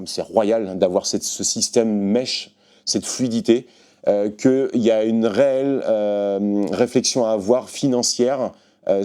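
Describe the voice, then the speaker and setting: A man's voice: fairly low pitch, mellow, clean tone. A man casually explaining a product to viewers in an informal, hands-on way, as a shop presenter.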